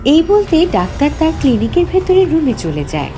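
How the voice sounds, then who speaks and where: Female narrator for scripted horror story, higher register, animated and dramatic, first person, over prominent music. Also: fairly quick. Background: background music.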